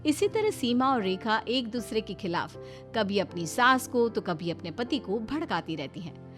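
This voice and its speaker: A woman's voice: on the high side, big pitch swings, measured pace, fairly bright tone, soft background music. A woman narrator reading a scripted family story.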